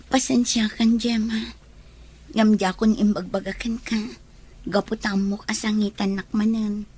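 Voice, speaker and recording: An actress plays a sorrowful young woman in an audio romance drama. Her voice is husky and slightly breathy, subdued and apologetic. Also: mid-range voice, little pitch movement, a little slow, darkish tone.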